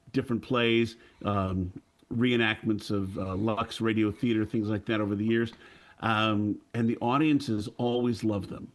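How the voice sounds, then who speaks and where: A middle-aged man recounting his experience on a panel in a measured, storytelling manner with a theatrical touch. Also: on the low side. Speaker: panelist, audio drama producer and stage actor. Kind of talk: anecdotal panel talk.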